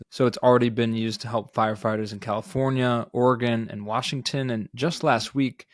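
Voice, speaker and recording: A man co-hosting a podcast, discussing startup news in a measured, analytical, semi-scripted manner. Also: mid-range voice, no echo, studio quality. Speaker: podcast co-host.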